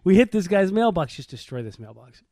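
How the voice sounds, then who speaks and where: A man's voice: sits high, very animated, brisk, mellow, dry recording, studio quality. A middle-aged man chatting casually and telling anecdotes with wry, irreverent humor.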